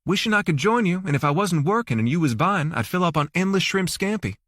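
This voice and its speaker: A man's voice: high voice, lively intonation, fast talker, a bit bright, dry recording, clean studio sound, pitched above the performer's own voice. A man voicing a sassy, teasing audiobook woman with a folksy, slangy drawl. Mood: teasing, amused.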